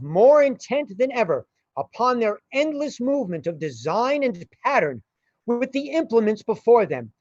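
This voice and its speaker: A man narrating a radio play in a dramatic storytelling style. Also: high-pitched. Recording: dull, roomy amateur recording.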